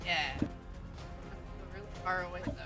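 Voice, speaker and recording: High-voiced man, understated tabletop player. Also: level tone, normal speed, music in the background. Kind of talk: casual game chat.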